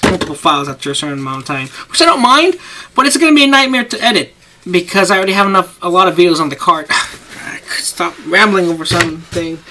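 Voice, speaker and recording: A man's voice: sits high, lively intonation, quick pace, bright, forceful tone. Rambling young man over noisy camera audio. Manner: rambling, talks while working, self-interrupting. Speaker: paper-craft tutorial maker. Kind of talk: casual hands-on tutorial. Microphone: camera mic.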